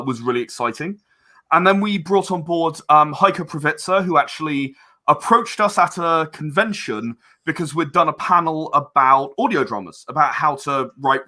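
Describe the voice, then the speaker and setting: A man speaking as a guest in a casual podcast interview, halting and rambling as he explains. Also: a little high, bright, forceful tone. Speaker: interview guest, audio drama producer.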